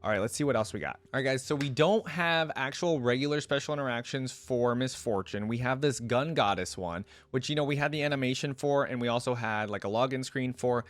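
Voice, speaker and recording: A man's voice: mid-range voice, brisk, few pauses, no echo. A man hosting a reaction video in a casual, chatty way. He thinks aloud in a mid-range voice, recorded dry with no room sound.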